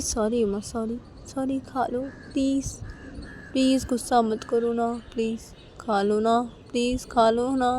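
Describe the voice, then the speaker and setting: An exasperated young husband from an audio romance story, acted out by a woman. The voice is pushed well up in pitch and keeps firing repeated questions and protests. Faint noise sits behind it. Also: a little mellow, lightly acted. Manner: exasperated, repetitive, rapid-fire questioning and protest. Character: irritable young husband, indignant then pleading.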